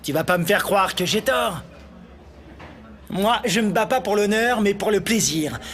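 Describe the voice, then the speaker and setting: A man's voice: sits high, expressive, unhurried, fairly bright tone. An actor playing a cocky young male fighter who lives for a good fight, in a French anime dub. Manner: cocky, insulting. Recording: music behind.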